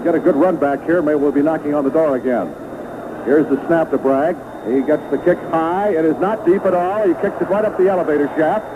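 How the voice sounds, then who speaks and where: A male play-by-play announcer with a fairly high voice calls live football on vintage radio. He runs through the action in short, clipped phrases. The sound is thin and narrow, with clear noise over it. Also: a little fast.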